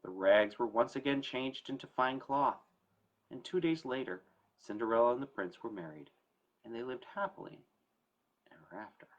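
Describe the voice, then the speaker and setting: Man, medium pitch, conversational, emphatic, explanatory, informal host delivery, slightly dull lo-fi audio. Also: moderate pace, slight background noise. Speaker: estate planning attorney hosting a story time talk. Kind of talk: informal educational talk to camera.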